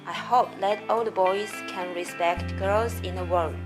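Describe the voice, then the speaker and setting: A woman from Japan gives a scripted campaign message, earnest and careful. Her pitch sits in the middle. Guitar music plays clearly behind her. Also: fairly even intonation, unhurried.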